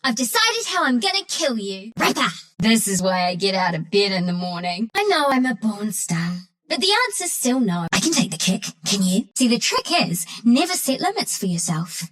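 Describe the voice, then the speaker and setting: A woman's voice: mid-range voice, sing-song, normal speed, few pauses, fairly bright tone. A woman voicing a scrappy, mischievous young female forest critter in a video game, with a New Zealand accent and a cheeky, cocky, slangy way of talking. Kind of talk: video game character voice lines.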